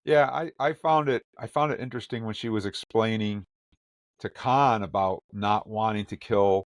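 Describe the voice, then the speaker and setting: Low-voiced man, animated and joking. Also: moderate pace, no room sound. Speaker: podcast co-host. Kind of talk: casual review chat.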